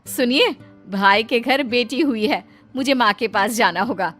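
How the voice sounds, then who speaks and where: A woman's voice: a little high, unhurried, fairly bright tone, faint music behind. A woman voicing a haughty, condescending married woman who scorns her poor relatives in a story. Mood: wheedling. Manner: condescending, showy.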